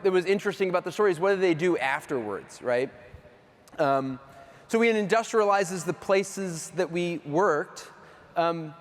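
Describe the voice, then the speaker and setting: A middle-aged man from the US East Coast giving a casual keynote talk on stage, chatty and self-deprecating with lots of fillers. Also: fairly high pitch. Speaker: keynote speaker. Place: large hall stage. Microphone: handheld or stage mic. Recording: reverberant hall.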